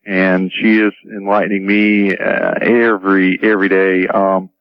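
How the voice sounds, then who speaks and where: A man's voice: deep voice, level tone, measured pace, no room sound. A man from North Carolina calling a radio show, chatting casually with some hesitation. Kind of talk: casual chat. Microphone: phone call to radio show. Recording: dull lo-fi caller audio on radio.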